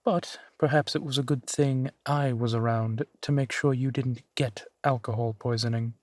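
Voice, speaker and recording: A man's voice: medium pitch, fairly even intonation, normal speed, darkish tone, close to an everyday voice. A man voicing a suave, genteel vampire centuries old, speaking unhurriedly and formally to the listener in an intimate audio roleplay. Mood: amused, charming. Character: suave male vampire, four centuries old, genteel, playfully amused host.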